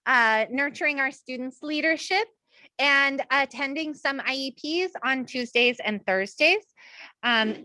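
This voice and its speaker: Bright high-voiced bilingual woman. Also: dry recording. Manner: says each point in Spanish and then English. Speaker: school administrator. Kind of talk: bilingual staff announcement.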